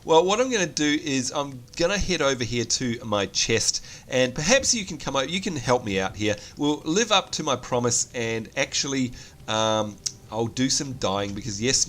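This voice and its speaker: A man's voice: medium pitch, a bit bright. New zealand guy with a cold doing game commentary, chatty, voice jumps all over the place. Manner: chatty, self-mocking, whiny protests. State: sick with a cold. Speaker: gameplay commentator. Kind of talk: casual let's play commentary.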